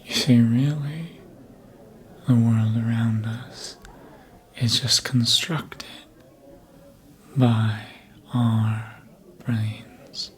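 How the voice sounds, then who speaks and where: An actor playing a seductive, manipulative vampire in an ASMR roleplay, speaking in a breathy, often whispered voice with a deliberate, hypnotic manner, close to the mic. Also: mid-range voice, fairly even intonation. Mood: smug, hypnotic. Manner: slow, deliberate, hypnotic, with long pauses. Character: seductive vampire, manipulative mind-controller, calm and menacing. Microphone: close ASMR microphone.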